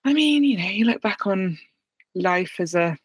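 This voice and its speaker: A woman's voice: mid-range voice, expressive, moderate pace, husky, dry recording, studio quality. A British woman in her thirties, a guest on an interview show calling in, talking candidly and chattily with a self-deprecating touch. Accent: British English. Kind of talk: casual interview. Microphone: remote call.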